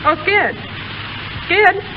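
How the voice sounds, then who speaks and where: A woman's voice: very high voice, animated, very slow, highs cut off, full character voice. An actress playing a scheming, jealous American Broadway showgirl in a 1930s radio drama, coaxing and pointed in slangy speech. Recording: faintly noisy 1930s radio recording.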